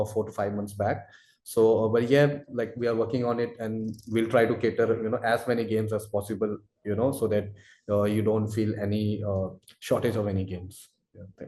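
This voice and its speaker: Low, mellow man in an echoey room. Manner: halting, repetitive, hedging delivery. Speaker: product expert answering webinar questions. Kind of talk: live product Q&A explanation. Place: indoor room, webinar call. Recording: roomy, echoey sound.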